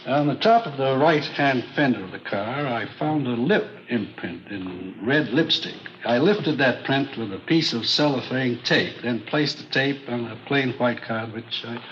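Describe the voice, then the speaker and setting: An actor playing a matter-of-fact forensic expert witness in an old radio drama, testifying in a measured, unemotional way. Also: male voice, mid-range voice, fairly bright tone, close to an everyday voice. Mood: factual, measured. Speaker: forensic chemist on the witness stand. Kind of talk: 1950s radio drama acting. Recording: muffled, noisy old radio recording.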